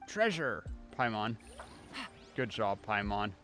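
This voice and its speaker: A man's voice: on the low side, big pitch swings, slow pace, sharp tone. A man livestreaming a video game with casual, wry, grumbling commentary. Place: inside a cold house. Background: game music.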